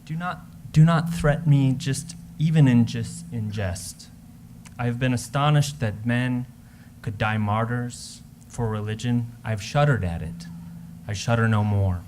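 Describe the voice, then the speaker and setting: Man as lovesick young poet, ardent, mid-pitched, staged letter reading, faint noise. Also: measured pace, natural voice. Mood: impassioned. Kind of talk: readers theater love letter.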